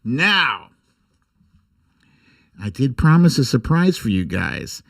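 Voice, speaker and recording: A man's voice: mid-range voice, very animated, slow pace, vocal fry, a bit bright. An American man hosting a casual livestream, chatting informally and jokily with some mild swearing. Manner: informal, jokey, mildly profane. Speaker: host of an online livestream show. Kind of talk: casual livestream chat and commentary.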